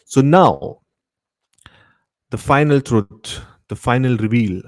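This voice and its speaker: A man hosting a podcast, addressing listeners informally with deliberate, repetitive emphasis. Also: mid-range voice, animated, no room sound. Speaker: podcast host. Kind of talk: live horror show sign-off, informal address to listeners.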